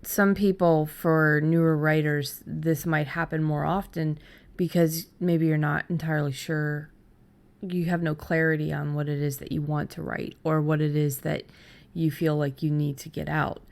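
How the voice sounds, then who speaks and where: A woman podcast host with a low-pitched voice and a noticeable gravelly fry. She talks casually, as part of an easy back-and-forth discussion. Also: flat delivery, normal speed, darkish tone.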